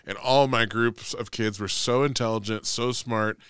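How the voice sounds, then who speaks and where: Forties male podcast co-host, bright and crisp, dry close sound, profane ranter. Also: medium pitch, steady tone, normal speed, no room sound. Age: 40s. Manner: ranting, profane, gets loud when angry. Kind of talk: casual chat.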